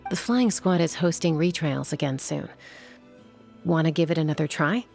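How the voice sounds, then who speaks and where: A woman playing a cool, frank young sky rider in an English game dub, measured and assured. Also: deep voice, animated, vocal fry, a little mellow, faint music behind. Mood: encouraging.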